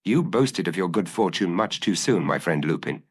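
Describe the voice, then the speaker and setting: A man voicing a cocky but rattled gentleman thief who talks to himself in a theatrical, self-mocking way in an audiobook. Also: deep voice, expressive, normal speed, slightly rough. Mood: grim muttering.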